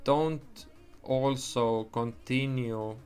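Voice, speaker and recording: A man's voice: mid-range voice, fairly even intonation, extremely slow, a little mellow, clean tone, faint music behind. A young man whose first language is Estonian, talking casually on a livestream, rambling, swearing and restarting his sentences. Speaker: livestream trader.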